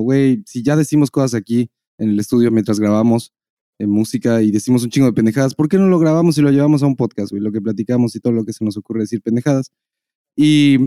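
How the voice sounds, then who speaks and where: Mellow-voiced Mexican man, casual podcast host. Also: mid-range voice, no echo, clean studio sound. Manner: slangy, profane. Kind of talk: casual unscripted podcast banter.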